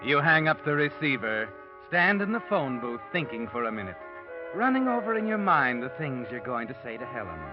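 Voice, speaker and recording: Male actor as a sly, taunting radio-drama narrator, lively voice, dull old recording, music under. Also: medium pitch, normal speed, natural voice. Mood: sinister. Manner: insinuating second-person narration.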